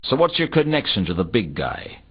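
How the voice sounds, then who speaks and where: A dull, lo-fi game recording of a world-weary male detective. His low voice swings widely in pitch as he delivers sardonic, deadpan noir lines. He is a hardboiled investigator from a fantasy adventure game. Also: normal speed. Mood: sardonic, probing. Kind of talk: video game dialogue and noir narration. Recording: dull lo-fi game audio, faint noise.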